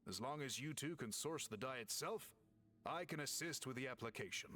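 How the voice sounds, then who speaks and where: Lively, formal game scholar. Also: male voice, mid-range voice. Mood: encouraging. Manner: formal, measured explanatory delivery. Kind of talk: video game voice acting.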